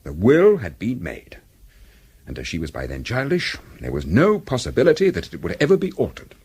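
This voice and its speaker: An actor playing a formal, obliging English solicitor in a British radio drama, speaking politely with a Received Pronunciation accent. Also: male voice, on the low side, wildly swooping pitch, normal speed, darkish tone, slightly rough, full character voice. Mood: measured, confiding. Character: courteous, discreet English solicitor, a man of business.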